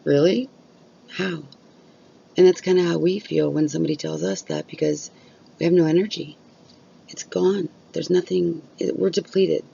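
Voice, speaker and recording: A woman's voice: on the low side, fairly even intonation, moderate pace, gravelly, pauses often, mellow, husky, lo-fi sound. A woman vlogging candidly about chronic illness, blunt and open, swearing freely while losing her voice. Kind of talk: candid casual vlog talk.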